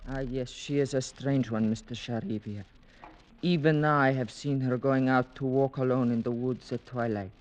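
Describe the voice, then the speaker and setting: A man's voice: medium pitch, steady tone, normal speed, darkish tone, close to an everyday voice, low-quality recording. Male actor as nervous, respectful household servant, mid-range voice, reluctant delivery, vintage radio mystery. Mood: uneasy. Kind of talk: 1940s-50s radio drama acting.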